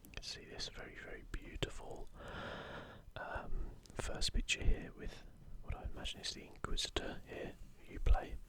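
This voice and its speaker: An ASMR host whispering a commentary in a halting, musing, unscripted way. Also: fairly bright tone.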